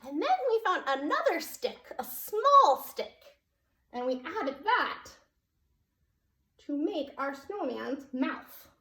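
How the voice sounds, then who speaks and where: A woman's voice: high voice, big pitch swings, slow, sharp tone. A woman telling a story to preschoolers as a children's librarian, in a sing-song, child-directed way with frequent questions. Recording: roomy echo.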